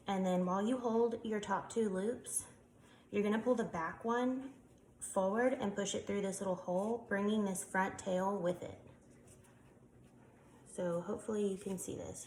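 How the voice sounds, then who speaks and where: A woman's voice: medium pitch, a little mellow. Congested woman explaining a craft. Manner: chatty, explains while working with hands, frequent ums. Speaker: craft tutorial maker. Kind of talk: casual step-by-step demonstration. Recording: echoey room, faint background noise.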